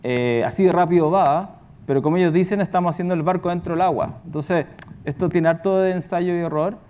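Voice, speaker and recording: A man's voice: high voice, brisk, a little mellow, a little hiss or hum. A man speaking casually as a guest on a live panel.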